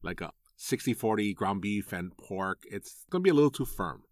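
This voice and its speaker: A middle-aged man from Hawaii, a food writer, talking in a relaxed, conversational way with lots of fillers. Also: lowish voice, moderate pace, no echo, clean studio sound. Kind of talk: interview commentary.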